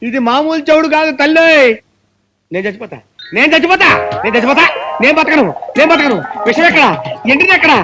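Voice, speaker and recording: An actor playing a panicky, melodramatic wedding priest who wails and laments theatrically. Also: male voice, very high-pitched, animated, fast talker, long pauses, bright, forceful tone, exaggerated voice. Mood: wailing. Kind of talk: film comedy acting.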